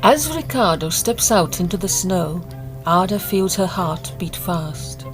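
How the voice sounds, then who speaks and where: A woman reads scripted narration for a period audio drama. Her voice is slightly low, with music clearly playing behind it. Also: expressive, a little slow, rough recording.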